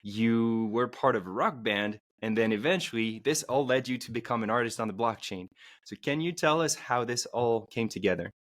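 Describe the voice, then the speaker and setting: A man hosting an interview podcast, introducing the guest and laying out the episode in a measured way with frequent ums. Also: mid-range voice, a bit bright. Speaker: podcast host.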